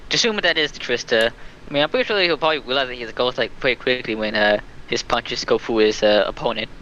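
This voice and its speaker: A man's voice: medium pitch, fast talker, pushed and bright, lo-fi sound. A man chatting casually, with some swearing. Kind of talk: casual chat. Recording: faint background noise.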